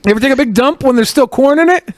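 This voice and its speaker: A man's voice: very high voice, racing, no echo, studio quality. A man co-hosting a podcast with crude, joking, casual banter. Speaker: podcast co-host.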